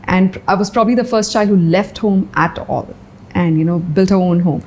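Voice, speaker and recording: Earnest woman from india, mellow warm voice, reflective interview talk, lots of "you know". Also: on the low side, fairly even intonation, normal speed, a little hiss or hum. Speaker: interview guest. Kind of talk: candid personal interview.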